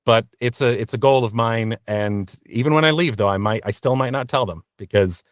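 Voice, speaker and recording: A man answering interview questions candidly as a voice actor, in an earnest, reflective and halting conversational manner. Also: mid-range voice, no room sound, studio quality. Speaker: interview guest, voice actor and narrator.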